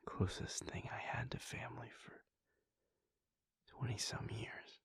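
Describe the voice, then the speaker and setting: A man voicing a brooding, conflicted male vampire prince in a whispered audio roleplay monologue, hesitant and breathy. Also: unhurried, natural voice. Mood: wistful. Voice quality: gravelly, breathy. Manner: soft whispering, hesitant restarts.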